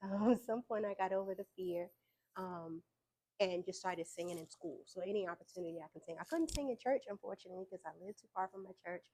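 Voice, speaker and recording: A young adult woman, telling her story as an interview guest in a casual, relaxed way with fillers and easy laughs. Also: mid-range voice, fast, slight background noise, low-quality recording. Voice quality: soft voice. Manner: relaxed, frequent fillers, laughs between points.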